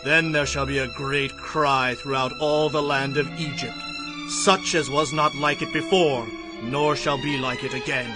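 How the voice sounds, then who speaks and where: An actor playing a stern, unyielding Hebrew prophet in a dramatized audio Bible, speaking in a solemn, firm, declamatory way. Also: male voice, medium pitch, fairly even intonation, unhurried, over music, close to an everyday voice. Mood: solemn warning.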